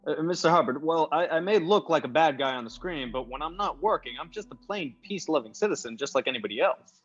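Man acting a husky slangy movie tough guy. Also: a little high, animated, fast, fairly bright tone. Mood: wary, puzzled. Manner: slangy, clipped, hesitant stammers. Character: tough-talking movie gangster star, affable but wary. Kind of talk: old-time radio comedy play acting. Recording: dull lo-fi audio with music behind.

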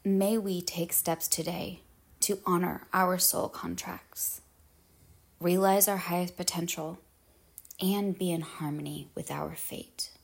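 A woman leading a guided meditation in measured, solemn phrases with pauses, her husky voice trailing into breathiness. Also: on the low side, steady tone.

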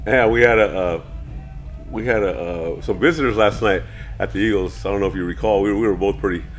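A man's voice: on the low side, fairly quick, soft background music. A man with a nasal voice, co-hosting a casual chat, rambling and laughing often. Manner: rambling, laughs often, says dude and bro. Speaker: podcast co-host.

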